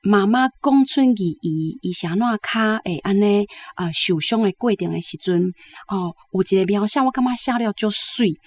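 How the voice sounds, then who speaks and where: Female literary commentator: Taiwanese accent, medium pitch, conversational book discussion. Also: moderate pace, hardly any pauses.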